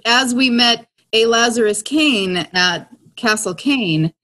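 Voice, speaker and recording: A woman improvising in character on a tabletop roleplay podcast, speaking in a measured, somewhat formal manner. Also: fairly high pitch, sharp tone. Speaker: player in an actual-play audio drama podcast.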